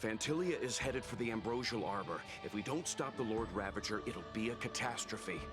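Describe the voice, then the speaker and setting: Man voicing a grave, low-voiced game mentor. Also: expressive, close to an everyday voice. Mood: urgent, grave. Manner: serious, deliberate. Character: grave, composed male mentor warning a young ally. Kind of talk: anime-style game voice acting. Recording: music behind the voice.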